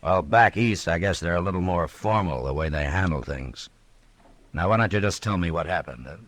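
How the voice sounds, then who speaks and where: An American actor playing a calm, firm, fair-minded frontier marshal in an old-time radio western, speaking in a laconic, dryly wry way. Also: male voice, low-pitched, moderate pace. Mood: calm questioning. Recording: old radio drama, music behind.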